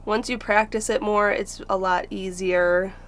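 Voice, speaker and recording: A woman's voice: mid-range voice, little pitch movement, measured pace, a little hiss or hum. A woman teaching crochet step by step, patiently counting stitches aloud as she works.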